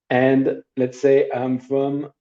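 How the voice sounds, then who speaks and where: A middle-aged man teaching a workshop over a video call, demonstrating a service in a relaxed, conversational way with hesitations and asides. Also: mid-range voice. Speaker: workshop instructor. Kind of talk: live online training demo. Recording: dull lo-fi video call audio.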